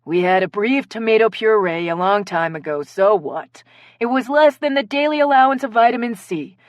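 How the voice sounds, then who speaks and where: A woman doing a husky, snide woman suspect. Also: fairly high pitch, lively intonation, normal speed, no echo, studio quality, pitched above the performer's own voice, close to an everyday voice. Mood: accusing. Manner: snide, accusing.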